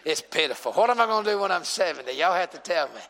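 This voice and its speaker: A preacher in his forties who jokes with and teases his congregation, then rises into shouts. His voice is bright and slightly high. It carries with a long reverb through a church hall. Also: lively intonation, fast talker. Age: 40s. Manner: humorous, teasing the congregation, builds to shouting. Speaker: pastor. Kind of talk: sermon. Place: church sanctuary.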